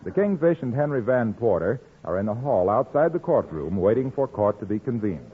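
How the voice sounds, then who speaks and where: A man's voice: fairly low pitch, big pitch swings, a little fast. A man announcing on a 1940s radio show, reading narration and advertising copy in a polished, emphatic manner. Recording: tinny old 1940s radio recording.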